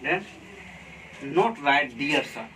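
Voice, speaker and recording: A man with an Indian accent teaching a class. He talks slowly and deliberately and keeps checking in with his students. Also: a little high, lively intonation, bright, forceful tone, a little hiss or hum. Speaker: college teacher. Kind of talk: classroom lesson on letter writing. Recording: distant mic with faint room ambience.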